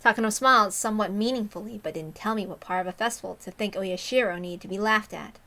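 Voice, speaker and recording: Bright-voiced woman reading a story aloud. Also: medium pitch, fast talker. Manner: self-interrupting, openly flustered over mistakes. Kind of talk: expressive read-aloud with casual asides.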